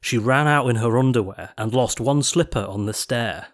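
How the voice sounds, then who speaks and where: A man narrating an audiobook of rhyming verse in a theatrical, expressive storytelling style. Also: mid-range voice, normal speed.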